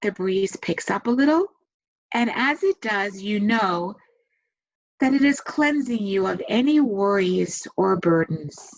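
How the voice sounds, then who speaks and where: Female meditation guide, very slow delivery, soothing and gentle with long pauses, slightly muffled lo-fi recording. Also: medium pitch, lively intonation. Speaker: meditation guide and massage therapist. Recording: dull, muffled lo-fi sound.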